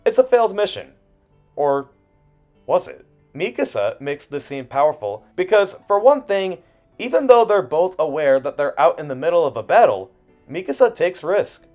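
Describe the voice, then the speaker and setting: Higher-voiced man narrating over music. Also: sing-song, normal speed, a little mellow. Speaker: video essayist. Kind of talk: scripted video essay narration. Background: background music.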